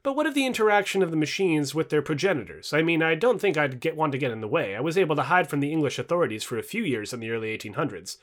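A man's voice: a little high, lively intonation, racing, hardly any pauses, close to an everyday voice. A man voicing a gloomy, distrustful nineteenth-century male rebel against machines in a dialogue reading. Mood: wary.

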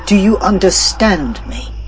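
A man doing a gentle, softened archangel. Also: high voice, lively intonation, soft background music, voice pushed up. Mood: reassuring. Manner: gentle, reassuring and measured.

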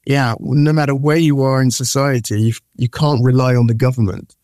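Mellow-voiced man, animated storyteller. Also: mid-range voice, moderate pace, no room sound, clean studio sound. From born in Nigeria, raised from age eight in the UK. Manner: animated storyteller with repeated words and restarts. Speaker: guest. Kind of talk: casual conversational interview.

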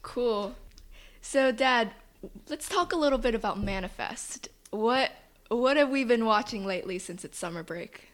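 A woman's voice: fairly high pitch, animated, unhurried. A young woman hosting a casual podcast, upbeat and friendly as she interviews her father on a shared microphone. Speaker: podcast host.

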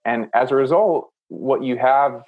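Male podcast host, low gravelly voice, slightly muffled sound, reflective. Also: measured pace. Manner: reflective, paraphrases guest, frequent "mm" affirmations. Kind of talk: conversational interview.

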